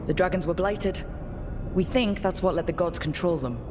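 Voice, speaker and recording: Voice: mid-range voice, moderate pace, noisy background, lightly acted. An actor voicing a resolute, plain-spoken young hero who leads a resistance in a fantasy video game. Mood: matter-of-fact. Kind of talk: video game cutscene acting.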